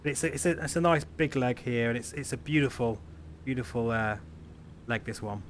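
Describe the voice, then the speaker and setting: A man's voice: mid-range voice, a little fast, slight background noise. A man hosting a live group flight stream, chatty and self-deprecating, laughing often. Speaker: stream host. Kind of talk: casual live-stream chat.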